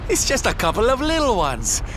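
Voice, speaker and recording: A man's voice: very high-pitched, huge pitch swings, fairly quick, background noise, exaggerated voice. A man playing a flamboyant, sassy adventurer in a video game, with an arch, teasing, theatrical delivery. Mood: teasing surprise.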